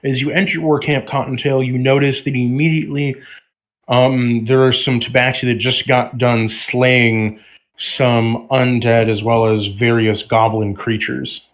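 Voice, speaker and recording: A man running a tabletop game for his friends, describing scenes at a measured, unhurried pace with small pauses. His voice sits in an ordinary middle range. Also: medium pitch, little pitch movement, rough recording. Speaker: game master.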